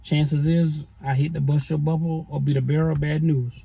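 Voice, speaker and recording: A middle-aged man with a gravelly, husky voice gives relationship advice in a casual chat. His tone is very dark and a little high for a man. He talks folksy and preachy, speaking straight to the viewers as y'all. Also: flat delivery, moderate pace. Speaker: co-host of a relationship advice show.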